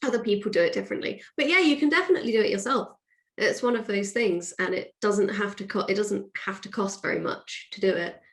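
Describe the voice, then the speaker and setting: A woman with a mid-pitched voice talks as the guest in a casual interview. She is a writer explaining her work, chatty and animated, often slipping into quoted asides. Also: fast talker. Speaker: guest, audio drama writer.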